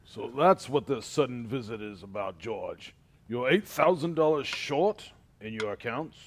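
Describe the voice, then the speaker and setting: Slow, sneering old miser acted by a man. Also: mid-range voice, expressive. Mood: suspicious, needling. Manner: slow, mocking, condescending, chuckling menace. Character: cruel rich old miser, sneering and gloating. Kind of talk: amateur radio drama acting.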